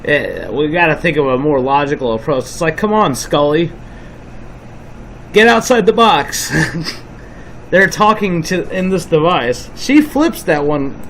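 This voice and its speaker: A man's voice: fairly high pitch, lively intonation, measured pace, a bit bright, a little hiss or hum, poor audio. A man giving casual, insistent commentary over footage as the host of a video channel.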